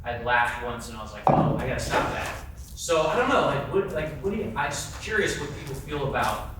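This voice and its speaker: A man's voice: medium pitch, sing-song, a little fast, a bit bright. A man talking casually to a group, tentative and rambling as he puts his thoughts across. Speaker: twelve-step meeting member asking a question. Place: large echoey indoor convention room. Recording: echoey room, distant mic, faint noise.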